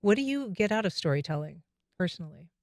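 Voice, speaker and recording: A woman hosting a public radio interview, asking questions in a smooth, curious, conversational way. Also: lowish voice, very animated, fast talker, darkish tone, dry recording, clean studio sound. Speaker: radio show host. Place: radio studio.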